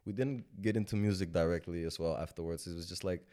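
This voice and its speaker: Deep-voiced man, laid-back and rambling. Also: quick pace. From Sudan. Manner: relaxed, rambling, laughs often, many fillers. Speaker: guest musician. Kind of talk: casual interview talk.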